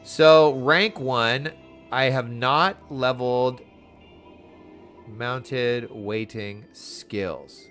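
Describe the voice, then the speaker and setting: A man's voice: medium pitch, drawn-out words, fairly bright tone, faint music behind. A man giving casual game commentary as a streamer, chatty, playful and easily sidetracked. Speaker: gaming streamer.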